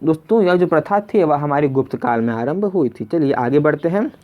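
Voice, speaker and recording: A man's voice: on the high side, expressive, brisk. Man teaching, dull lo-fi recording. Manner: conversational, repeats each question before answering, addresses viewers as friends. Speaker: quiz teacher and video host. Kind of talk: spoken exam quiz lesson, reading questions and giving answers.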